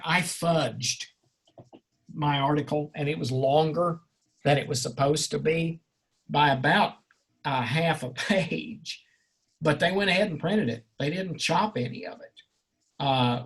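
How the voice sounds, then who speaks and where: A man leading an informal seminar discussion as the instructor, effusive and erudite, rambling and joking, with a slightly husky voice. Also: a little high. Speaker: instructor leading an online class session.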